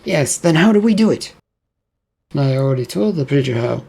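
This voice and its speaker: A man voicing a sly, scheming male demon who taunts and coaxes in a drawn-out way. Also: on the high side, animated, vocal fry, a little mellow, breathy edge, exaggerated voice. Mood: coaxing sly.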